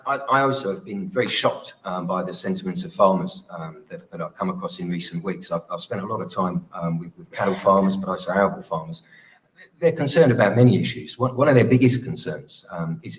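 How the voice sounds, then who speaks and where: A man giving a policy speech as a parliamentary candidate at a hustings, measured and hesitant, often restarting his phrases. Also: sits low, poor audio. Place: live public hustings event.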